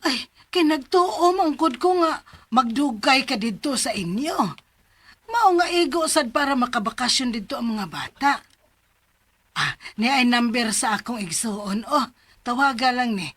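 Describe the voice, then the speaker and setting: An actress with a Cebuano Filipino accent playing a neighborly aunt in a radio drama. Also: female voice, a little high, expressive, measured pace, fairly bright tone. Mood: matter-of-fact. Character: neighborly aunt breaking news of the children's whereabouts. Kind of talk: Bisaya radio drama acting.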